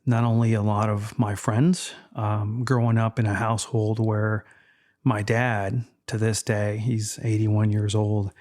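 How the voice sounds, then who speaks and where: A man in his fifties from Texas, Spanish his first language, talking in a measured, reflective way as a podcast guest. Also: lowish voice, level tone, a little mellow. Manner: measured, reflective, with frequent fillers. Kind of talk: casual interview chat.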